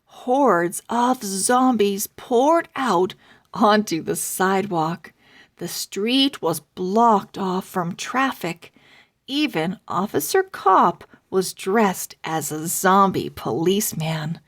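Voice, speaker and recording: A woman reading a children's book aloud as host, with deliberate, theatrical, expressive narration. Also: medium pitch.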